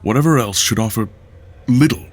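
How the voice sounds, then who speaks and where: An actor playing a serious, guarded male war hero who speaks tersely and measured. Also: mid-range voice, lightly acted. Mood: grave. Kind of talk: audio drama acting.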